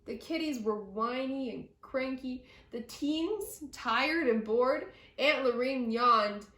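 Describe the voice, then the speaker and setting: A woman reading a picture book aloud to children with deliberate, dramatic, expressive phrasing. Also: high voice. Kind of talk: expressive children's story narration. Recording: roomy echo.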